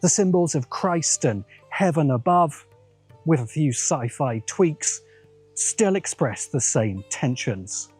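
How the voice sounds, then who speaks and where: Slow, husky-voiced man narrating a video essay. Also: on the high side, animated, a little mellow, faint music behind. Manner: measured, essayistic, wry asides. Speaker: host. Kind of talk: scripted narration.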